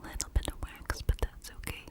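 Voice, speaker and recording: A woman doing an ASMR roleplay as a gentle hospital nurse, whispering softly and reassuringly through an exam. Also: a little fast. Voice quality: whispery, breathy. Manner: gentle, reassuring, checking in with short questions. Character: gentle night-shift hospital nurse examining a patient. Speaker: ASMR performer playing a nurse.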